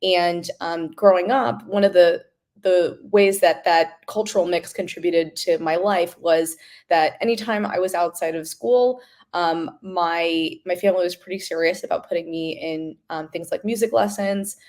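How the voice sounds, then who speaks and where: Slightly low-voiced American woman, thoughtful. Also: normal speed, a little mellow. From Los Angeles, United States. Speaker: podcast guest, company founder. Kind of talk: conversational interview answers.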